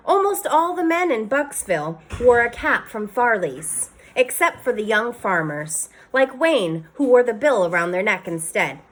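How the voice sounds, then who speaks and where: Expressive woman teacher reading aloud. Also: fairly high pitch, unhurried, hardly any pauses, a little hiss or hum, rough recording. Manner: expressive, storytelling, speaks to listeners. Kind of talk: reading a children's novel aloud.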